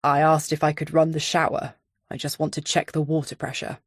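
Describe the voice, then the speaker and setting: Woman putting on a low, breezy, probing man. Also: flat delivery, a little fast, husky, no echo, studio quality. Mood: insistent, polite. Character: probing, casually confident man viewing a house.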